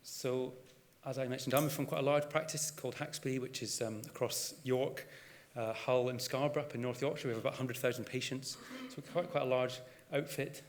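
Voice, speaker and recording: A Scottish man gives a conference talk in a casual, unhurried way, often restarting his sentences. His voice sits at an ordinary pitch for a man. A long reverb from the large hall trails behind his words. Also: fairly even intonation, a little hiss or hum. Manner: conversational, with frequent restarts and fillers. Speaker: GP and conference speaker. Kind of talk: conference presentation.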